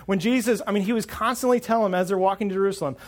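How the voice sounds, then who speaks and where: A man's voice: sits high, fairly even intonation, rapid-fire. A man preaching a sermon on a lapel mic to a congregation. He is earnest and often repeats words as he starts a thought. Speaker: pastor. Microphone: wireless lapel mic pack.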